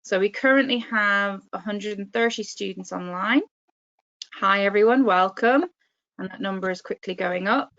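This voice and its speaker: A woman's voice: mid-range voice, normal speed, fairly bright tone. A woman, a career consultant, moderating an online workshop in an upbeat, friendly way with many fillers. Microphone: video call. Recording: dull lo-fi video call audio.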